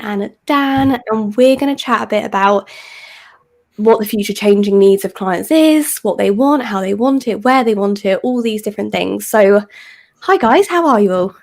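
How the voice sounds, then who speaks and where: A woman's voice: a little high, darkish tone. A woman facilitating a panel discussion, introducing the panelists and asking them questions with enthusiasm. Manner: enthusiastic, friendly. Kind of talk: moderating a panel.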